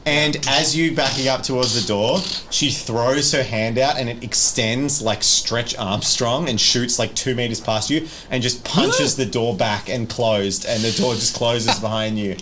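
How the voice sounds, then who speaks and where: Male game master, faint noise behind. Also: medium pitch. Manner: casual, graphic, halting descriptions. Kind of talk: improvised tabletop horror game narration.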